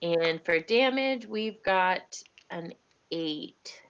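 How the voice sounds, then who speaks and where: Female tabletop gamer, slightly low voice, casual delivery, dull online-call sound. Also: animated, extremely slow, clean tone. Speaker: tabletop game player. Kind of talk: casual game chat.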